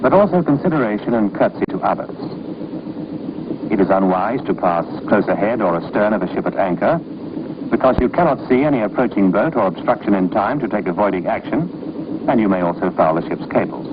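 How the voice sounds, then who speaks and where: A man's voice: fairly low pitch, expressive, fairly quick. A man reading scripted training-film narration in a formal, instructive, authoritative manner. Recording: muffled, noisy old film soundtrack.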